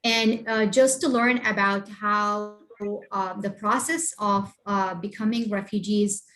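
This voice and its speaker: A middle-aged woman from Iraq, a refugee organizer, giving a presentation over a video call and filling pauses with many uh and um sounds. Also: medium pitch, fairly even intonation, a bit bright, rough recording. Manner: halting, many uh and um fillers. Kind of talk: online presentation with shared slides.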